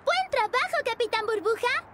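Very high-pitched eager girl reporter. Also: expressive, normal speed. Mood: confident, eager. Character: eager young girl hippo reporter and superheroine. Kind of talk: dubbed children's cartoon acting.

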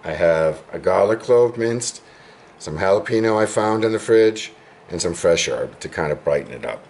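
A man hosting a home cooking demonstration in his kitchen, explaining each step in a relaxed, chatty way with small asides. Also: low-pitched, normal speed. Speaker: home cooking show host. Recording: clear background kitchen noise. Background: clattering utensils and dishes.

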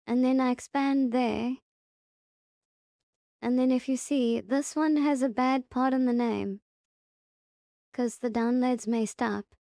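A woman hosting a casual software tutorial, explaining it step by step in a halting way. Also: high voice, fairly even intonation, creaky, no echo, studio quality. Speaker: tutorial host.

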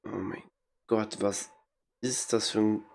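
A man's voice: on the low side, fairly even intonation, slow, vocal fry, darkish tone. A young man with a cold, giving casual, blunt, slangy commentary on a video game as a streamer, talking into a headset in a small room at home. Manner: blunt, slangy, mocking reactions. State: has a cold, occasional coughing. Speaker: gaming streamer.

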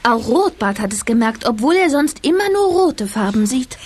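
A woman's voice: on the high side, big pitch swings, normal speed, darkish tone, a little hiss or hum. An actress playing an earnest, enthusiastic young girl in a children's radio play.

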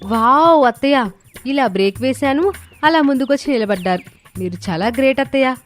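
A woman voicing a young, meek daughter-in-law who speaks deferentially in an animated moral story. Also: sits high, normal speed, faint music behind. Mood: admiring.

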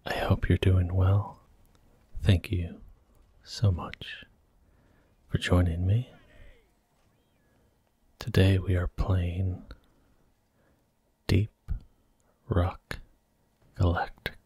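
A man giving soft-spoken, gentle ASMR commentary while he plays a video game. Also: deep voice, flat delivery, extremely slow, long pauses, husky, no room sound. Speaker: ASMR gaming host. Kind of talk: whispered ASMR gameplay commentary.

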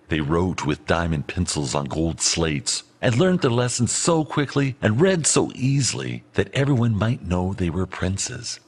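Man, storybook narration, animated melody, low voice, expressive. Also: slightly rough. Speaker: audiobook reader. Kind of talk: scripted storybook narration of a fairy tale.

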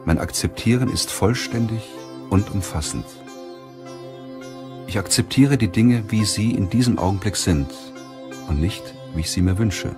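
A man reading a self-help audiobook in a measured, deliberate way with pauses. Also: on the low side, over music. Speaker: audiobook narrator. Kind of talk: scripted audiobook narration of a self-help book.

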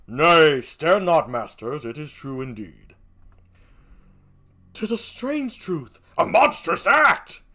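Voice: medium pitch, sing-song, takes it slowly, pushed and bright, exaggerated voice. A performer voicing a jealous, commanding and anguished husband in a declamatory, theatrical Shakespearean stage reading. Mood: defiant. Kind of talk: classical Shakespearean stage acting.

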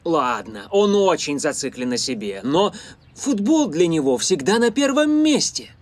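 A friendly young footballer. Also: male voice, sits high, sing-song, unhurried. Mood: easygoing. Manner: easygoing, polite. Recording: cartoon dub with music behind.

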